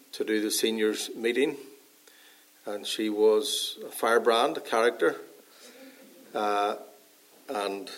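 A man from Northern Ireland with a Northern Irish accent, a pastor giving an earnest sermon in an unhurried way with frequent pauses and fillers, slightly hoarse from a dry cough. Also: lowish voice, low-quality recording.

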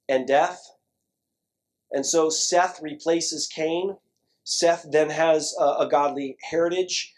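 A man preaching a sermon, emphatic and repetitive, with frequent asides to the congregation. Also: a little high. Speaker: pastor.

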